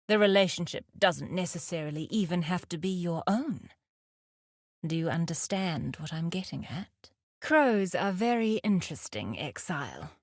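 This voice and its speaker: Fierce nature-loving huntress for a game: low female voice, deliberate and terse, dry close studio sound. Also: no room sound. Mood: wry, thoughtful. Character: fierce, guarded huntress outlaw, wry nature lover. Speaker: video game character voice actor. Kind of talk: scripted game character lines.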